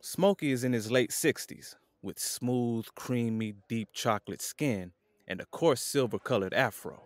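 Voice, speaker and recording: A man's voice: mid-range voice, unhurried, no echo. A man reading scripted scene narration for an audio drama as its narrator.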